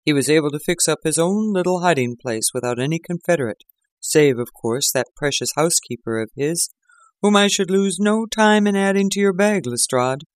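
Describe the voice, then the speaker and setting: Man reading as a cool detective, calm, dry amusement, close dry sound. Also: a little high, moderate pace, no echo, natural voice. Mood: assured. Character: calm, assured gentleman detective.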